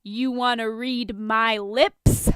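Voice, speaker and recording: A woman's voice: fairly high pitch, fairly even intonation, takes it slowly, a bit bright, clear, resonant tone, no room sound. A woman voicing a drunk, maudlin, belligerent billionaire hero in a story reading.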